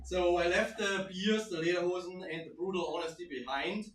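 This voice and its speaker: A man's voice: sits high, fairly even intonation, a little fast. A young man from Germany with a German accent, doing a self-deprecating open-mic stand-up set and chuckling at his own jokes. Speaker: stand-up comedian. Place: small indoor venue stage. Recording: roomy, distant mic, faint noise.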